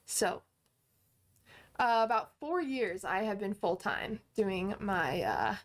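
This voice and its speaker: A woman's voice: mid-range voice, expressive, takes it slowly. A woman in her twenties hosting a casual livestream, chatting upbeat with viewers, full of fillers and restarts. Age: 20s. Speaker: livestream host and online course teacher. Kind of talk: casual livestream chat, answering viewer comments.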